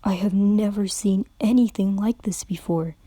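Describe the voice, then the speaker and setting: A woman voicing a grave older stallion doctor, hushed and measured. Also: mid-range voice, dry recording, lightly acted.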